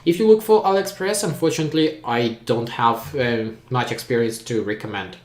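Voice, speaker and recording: A man whose first language is Ukrainian answers viewer questions in a plain, matter-of-fact way and often pauses with "uh". His voice sits at an ordinary middle pitch, and it rings a little in an echoey room. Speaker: tech channel host. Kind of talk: live Q&A reading viewer questions.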